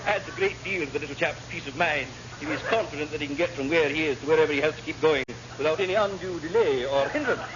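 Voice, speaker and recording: A man's voice: a little high, fast, few pauses, natural voice. A British man acting a cheerfully vague, chatty naval engineering officer in a classic radio comedy, rambling on with elaborate politeness. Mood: blithe, chatty. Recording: very noisy, muffled old radio recording.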